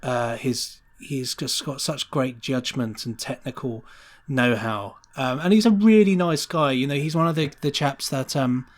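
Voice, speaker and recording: Male podcast guest, casual and enthusiastic, effusive, rambling conversational flow. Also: medium pitch. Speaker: podcast guest, sound designer.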